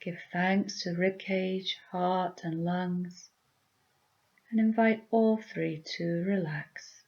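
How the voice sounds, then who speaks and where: A woman leading a guided meditation with gentle, soothing, deliberate instructions. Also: on the low side, steady tone, dull audio.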